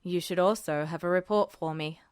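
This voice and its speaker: Woman doing a smug officer guy, low and cool, kinda teasing, dry mic. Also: fairly quick, clean tone, no room sound, close to an everyday voice. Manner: cool, clipped, dryly teasing. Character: smug, composed male military officer. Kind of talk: podfic reading.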